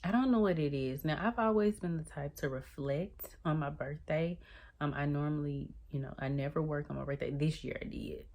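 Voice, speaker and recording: A woman's voice: normal speed, vocal fry. A woman, chatting casually to her viewers and calling them y'all. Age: 30s. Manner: chatty, addresses viewers as y'all, lively swings in pitch. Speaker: vlogger. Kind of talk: casual chat to the camera.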